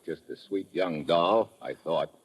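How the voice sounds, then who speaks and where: A man's voice: low voice, unhurried, slightly rough, rough recording. A man playing a tough, wisecracking American government agent in a hard-boiled, wry 1950s radio drama style. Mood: uneasy. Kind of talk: 1950s radio drama acting and first-person narration. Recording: old radio broadcast with music.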